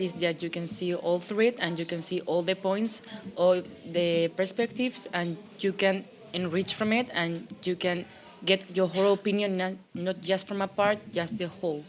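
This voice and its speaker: A young woman from Spain with a Spanish accent, talking thoughtfully in a podcast discussion and searching for words. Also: fairly low pitch. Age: young adult. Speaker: student.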